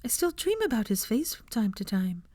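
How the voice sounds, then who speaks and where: A woman voicing a gentle, dreamy young woman with a soft, wistful way of speaking in a dramatic story reading. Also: on the high side, big pitch swings, moderate pace, no room sound, clean studio sound, voice pushed up. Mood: tearful, earnest.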